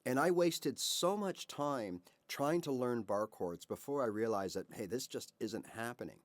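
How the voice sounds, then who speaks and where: A man's voice: medium pitch, measured pace, dry recording. A man of fifty or older giving a casual guitar lesson to camera, chatty and encouraging, asking rhetorical questions and checking in with "all right" and "right?". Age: 50s or older. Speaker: guitar teacher.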